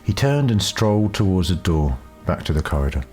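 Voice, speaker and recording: A male narrator reads a scripted story in a low-pitched voice. The delivery is that of an audiobook, with music playing behind him. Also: big pitch swings, a little fast, a little mellow.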